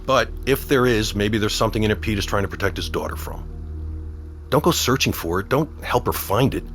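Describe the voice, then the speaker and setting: An actor playing a middle-aged small-town sheriff and father in an audio drama, wry, measured and protective in his talk. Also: lowish voice, a bit bright, soft background music, natural voice. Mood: grave, gentle. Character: small-town sheriff dad, teasing yet protective and steady. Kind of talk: naturalistic audio drama acting.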